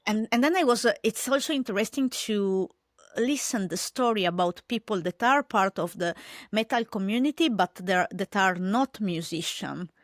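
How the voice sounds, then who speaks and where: A woman's voice: medium pitch, normal speed, dry recording, clean studio sound. A woman from Italy with an Italian accent, a podcast guest speaking English as a second language with many fillers and restarts. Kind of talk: casual interview chat.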